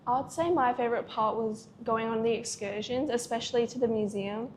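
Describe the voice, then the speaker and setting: A woman's voice: fairly high pitch, normal speed, a little hiss or hum. A young Australian woman answering interview questions in a bubbly way, full of "like". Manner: bubbly, many "like" fillers. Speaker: student at an education camp.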